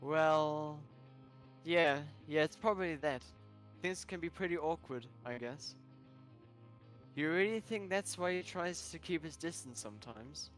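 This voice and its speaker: A man's voice: on the high side, a little slow, a bit bright, soft background music. A man voicing an insecure, melancholy young herbivore character in a visual novel, pleading and hesitant. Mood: shy, gentle.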